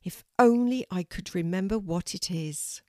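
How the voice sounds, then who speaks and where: A woman voicing an eager, excited young girl in a children's storybook reading. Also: on the low side, measured pace, no room sound, studio quality, natural voice. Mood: worried. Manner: bright, eager and exclaiming.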